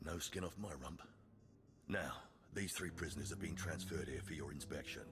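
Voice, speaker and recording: An actor playing a callous male jailer in a video game, gruff, brusque and folksy in his talk. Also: a bass voice, normal speed.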